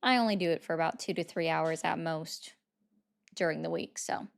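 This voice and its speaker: A woman's voice: low voice, moderate pace, vocal fry. A woman hosting a casual political livestream, chatting with viewers and thanking them for gifts.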